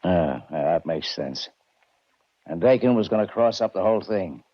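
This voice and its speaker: An actor playing a gruff, skeptical police detective in a 1940s radio drama, matter-of-fact and slangy. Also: male voice, sits low, expressive, normal speed, no echo. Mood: skeptical, mulling. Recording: dull vintage radio recording.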